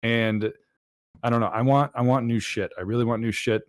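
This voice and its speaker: A man chats casually as a podcast co-host, opinionated and free with swear words. His voice sits at an average male pitch, recorded close and dry with very little room sound. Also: fairly even intonation, moderate pace, dry recording. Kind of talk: casual panel chat about video games.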